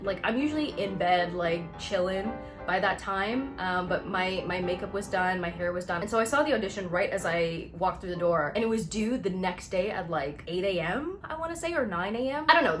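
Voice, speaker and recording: A woman chatting casually to camera as she tells a personal story, animated and conversational as she acts out reactions. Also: medium pitch. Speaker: actor and vlog host telling her own story.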